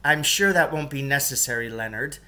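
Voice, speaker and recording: A man's voice: mid-range voice, brisk, bright, forceful tone. A man voicing a flustered, disapproving female schoolteacher in a storybook, with a hesitant stammer. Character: flustered, disapproving female social studies teacher.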